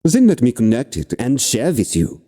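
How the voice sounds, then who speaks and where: A man's voice: medium pitch, huge pitch swings, normal speed, warm tone, big-room sound, pitched above the performer's own voice. A man voicing an insect-headed demon humanoid with a German accent and clipped, broken phrasing, his voice lifted well above his own. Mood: eager.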